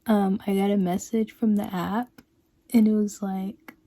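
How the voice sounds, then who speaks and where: A woman in her twenties chatting casually in a personal vlog, full of "like" and "um", sometimes dropping to a soft, hushed tone. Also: medium pitch, little pitch movement, measured pace, no room sound. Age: 20s. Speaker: vlogger. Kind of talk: casual confessional vlog chat.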